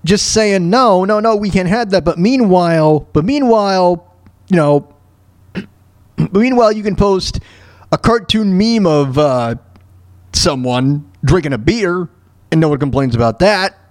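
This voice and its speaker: A man hosting a solo radio show, giving informal, opinionated commentary on the news in a halting, repetitive way. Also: high voice, lively intonation, no echo, studio quality. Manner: informal, halting, repeats words and phrases for emphasis.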